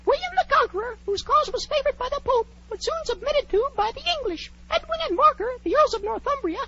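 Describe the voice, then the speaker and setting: A woman's voice: very high voice, expressive, brisk, bright, forceful tone, dry recording, heavily acted. A woman voicing a prim, huffy talking mouse with a pompous schoolmasterly manner, in a 1940s radio drama. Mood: bossy, pompous. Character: prim, huffy little talking mouse, lecturing and easily offended. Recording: dull old 1940s radio recording.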